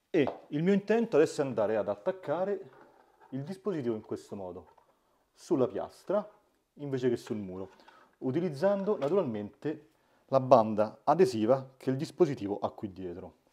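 Man with a muted, mellow voice. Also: medium pitch, sing-song, normal speed, long pauses. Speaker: host of a DIY smart-home channel. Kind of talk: hands-on tutorial narration to camera. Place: small indoor room at home.

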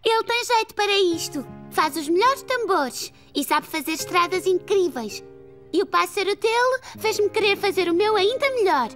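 A friendly little preschool girl in a cartoon, very high and bright. Her European Portuguese is childlike and swings in pitch. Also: animated, moderate pace, heavily acted. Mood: admiring. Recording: faint music behind the voice.

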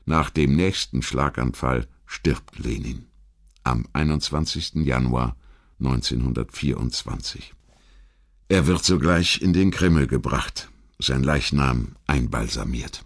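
A man reading scripted historical narration in a measured, articulate and formal manner. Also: a bass voice. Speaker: documentary narrator.

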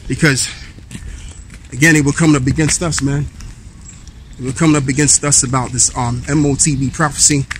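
A man in his thirties talks to a handheld camera as he strolls a park path. His preaching is easygoing and mid-pitched, broken up by fillers as he reads verses aloud. Faint outdoor noise sits behind him. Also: fairly even intonation, brisk. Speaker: street preacher vlogger. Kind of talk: walking vlog, preaching and reading scripture. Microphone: handheld camera at arm's length while walking.

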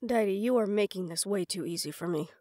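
A woman's voice: medium pitch, a little fast, a little mellow, dry recording, clean studio sound, close to an everyday voice. A woman playing a stubborn, sarcastic young goddess in an audio roleplay drama, teasing and defiant. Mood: exasperated.

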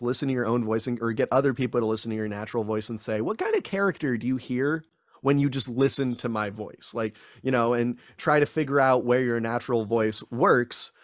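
A man's voice: mid-range voice, no echo. A young man talks through a studio mic on a video call from a small room at home. He is chatty and self-deprecating, rambling with restarts. The sound is dry and close. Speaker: guest voice actor. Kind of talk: casual interview chat.

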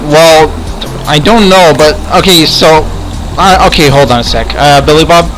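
A man's voice: fairly high pitch, normal speed, fairly bright tone, faint music behind, natural voice, low-quality recording. A man playing a friendly adventurer in an improvised Minecraft roleplay, hesitant and often repeating himself. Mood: explaining, curious. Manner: hesitant, repetitive, stammering delivery. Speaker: roleplay video host and player.

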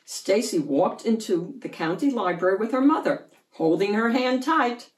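A woman reading a children's story aloud expressively, with deliberate emphasis on key words. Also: fairly low pitch, a little mellow. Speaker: children's book reader. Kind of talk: expressive picture book read-aloud. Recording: roomy echo, distant microphone.

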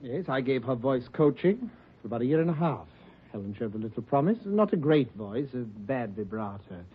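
Defensive singing teacher. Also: male voice, mid-range voice, very animated, normal speed, slightly rough. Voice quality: precise, nervous, defensive. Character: defensive male singing teacher, proud of business. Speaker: voice coach. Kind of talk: 1940s radio acting. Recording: dull 1940s radio recording, slightly off-mic.

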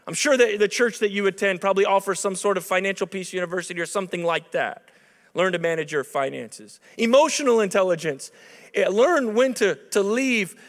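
Man, thirties, chapel preacher, high voice, handheld mic, long hall reverb, conversational with punchy emphasis. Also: fairly bright tone. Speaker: chapel speaker. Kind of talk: sermon. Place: large dark auditorium stage at a lectern. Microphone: handheld microphone.

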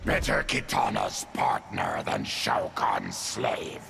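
An actor playing a savage, defiant monster warlord in a video game, with a growling, blunt, menacing delivery. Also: male voice, huge pitch swings, slow, music in the background, full character voice. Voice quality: deep gravelly growl. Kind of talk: video game character dialogue. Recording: loud noise behind voice.

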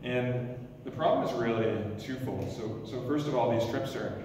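A man in his thirties, an emergency doctor, giving a loud, energetic startup pitch in a lecture hall with frequent restarts. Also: moderate pace, a little hiss or hum. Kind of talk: pitch presentation. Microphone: stand mic, recorded from far camera. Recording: echoey lecture hall from far off.

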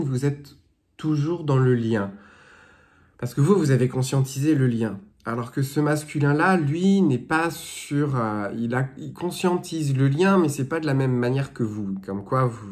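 A man's voice: mid-range voice, a little mellow. Man, 30s, has a cold; loose, rambling delivery full of fillers, recorded in a small room at arm's length. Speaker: spiritual guidance vlogger. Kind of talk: casual chat, then reading aloud from a dream dictionary. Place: small indoor room with bare walls.

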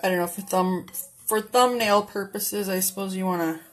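A woman's voice: medium pitch, steady tone, moderate pace. A woman casually narrating a cooking demo in her home kitchen, chatty and homey, talking straight to her viewers. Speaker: cooking vlogger.

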